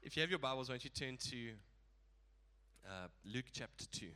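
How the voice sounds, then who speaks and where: A man's voice: medium pitch, sharp tone. A man preaching a sermon in a casual, chuckling way, his voice nasal from a blocked nose.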